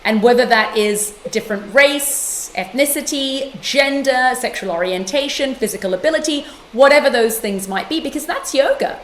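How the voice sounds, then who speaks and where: A middle-aged woman from London, speaking as an interview guest in a passionate, emphatic way with quick restarts. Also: sits high, animated, echoey room, slight background noise. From London, England. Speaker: guest author and yoga teacher. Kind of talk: conversational interview.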